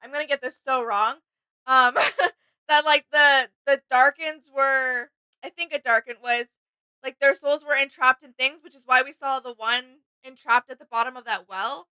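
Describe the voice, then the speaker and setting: A woman's voice: high voice, steady tone, normal speed, pushed and bright, clean tone, no room sound, rough recording. A woman chatting casually as a reaction video co-host, tentative and self-doubting in how she puts things.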